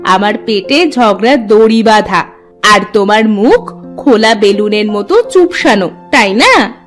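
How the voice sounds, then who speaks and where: A woman voicing a farmer's sharp-tongued wife in a cartoon folk tale, with a dramatic delivery. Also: medium pitch, lively intonation, a little slow, soft background music, voice pushed down, natural voice. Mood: nagging. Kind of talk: cartoon story character acting.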